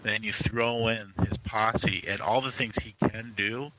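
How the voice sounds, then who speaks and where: A man's voice: on the low side, fairly even intonation, measured pace. A man guesting on a gaming podcast, chatting casually and animatedly, repeating phrases for emphasis. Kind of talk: casual tabletop gaming chat. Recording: lo-fi line with noticeable noise.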